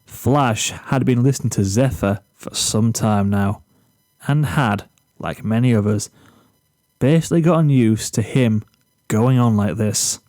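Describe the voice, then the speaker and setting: A man's voice: fairly low pitch, measured pace, a little mellow, no room sound. A man reading scripted story narration.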